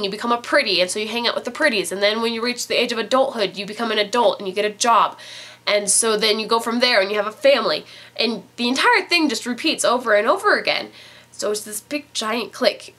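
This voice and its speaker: A woman's voice: a little high, bright, forceful tone. A woman in her twenties with a stuffy, nasal voice from a cold, chatting casually as a book reviewer. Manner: chatty, rambling with fillers.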